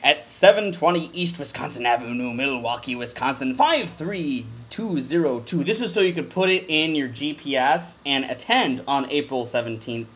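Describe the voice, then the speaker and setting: A man giving a mock-dramatic comedic reading of a formal document, with overblown emphasis and deadpan muttered asides. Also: mid-range voice, moderate pace, hardly any pauses, slight background noise.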